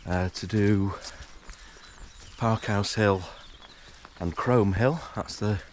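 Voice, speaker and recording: A man narrating a morning countryside walk in a relaxed, hesitant way, full of fillers, his voice a little croaky from just waking up. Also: fairly low pitch, slow, slight background noise. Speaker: hiking vlogger. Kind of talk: casual walking commentary. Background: rural outdoor sounds, animals.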